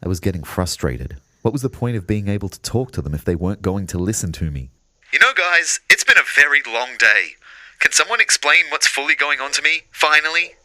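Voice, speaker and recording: A man's voice: mid-range voice, sing-song, a little fast, bright, forceful tone, dry recording. An Australian man playing an exasperated young ghost who narrates his own audio drama. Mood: frustrated. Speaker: actor narrating and playing the lead. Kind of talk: first-person audio drama narration and dialogue.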